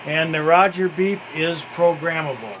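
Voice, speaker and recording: A man giving a casual, unscripted walkthrough of some gear, in a halting, matter-of-fact way. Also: fairly high pitch, noisy background. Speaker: radio hobbyist demonstrating gear.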